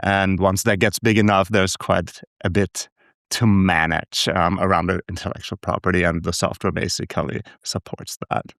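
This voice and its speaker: A man who grew up in Austria, a founder guest speaking in a measured, explanatory way on a video call from his home. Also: deep voice, flat delivery, creaky, fairly bright tone, dry recording, studio quality. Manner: measured, explanatory, slight hesitations. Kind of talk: casual interview storytelling. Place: home room in Berkeley.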